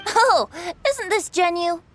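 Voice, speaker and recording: A woman's voice: very high-pitched, lively intonation, slow, a bit bright, slight background noise, exaggerated voice. A woman playing a cheerful, teasing young male bard in a video game, with a playful, theatrical way of talking. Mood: playful, friendly. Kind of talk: video game character acting. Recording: background music under the dialogue.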